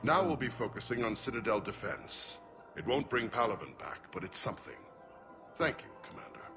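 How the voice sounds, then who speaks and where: An actor playing a weary, dutiful older alien general in a video game, speaking gravely and earnestly. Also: male voice, medium pitch, big pitch swings, normal speed, over music, close to an everyday voice. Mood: relieved, grateful. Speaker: military general. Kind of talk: dramatic video game acting.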